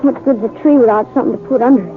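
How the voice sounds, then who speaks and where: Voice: medium pitch, rapid-fire, lightly acted. A performer playing an earnest, shy young farm boy with a rural American accent in a Western radio drama. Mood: downcast, shy. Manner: plain-spoken, hesitant. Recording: muffled 1950s radio recording, faint music.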